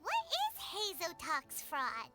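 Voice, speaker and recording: A woman voicing a tiny, cheerful, childlike fairy companion in a video game, squeaky, inquisitive and excitable. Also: slow, heavily acted. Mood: curious. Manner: exclamatory, inquisitive. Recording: game music behind.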